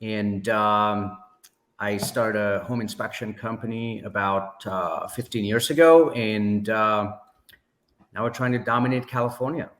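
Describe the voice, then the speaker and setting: A man, telling his story as a podcast guest in a measured way, pausing often with fillers. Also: lowish voice, a bit bright. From Sri Lanka. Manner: measured, many pauses and fillers. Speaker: podcast guest, business owner. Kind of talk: casual interview storytelling. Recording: echoey room.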